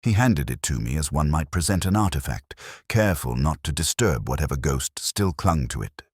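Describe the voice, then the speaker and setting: A man playing a very deep-voiced, brooding detective. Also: expressive, breathy edge, no echo, studio quality, heavily acted. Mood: brooding, reflective. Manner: measured, reflective, literary phrasing. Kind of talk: scripted first-person detective narration, audio drama.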